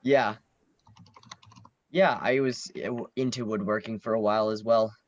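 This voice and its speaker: A man's voice: lowish voice, fairly even intonation, normal speed. A young man conducts a casual interview in a laid-back, slightly hesitant manner. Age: teen or young adult. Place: online video call. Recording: dull lo-fi call audio.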